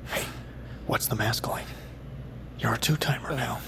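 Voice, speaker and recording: A man's voice: medium pitch, unhurried, gravelly, noisy background. A man playing a brash, agitated trapped character in an audio drama, blunt and informal. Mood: teasing.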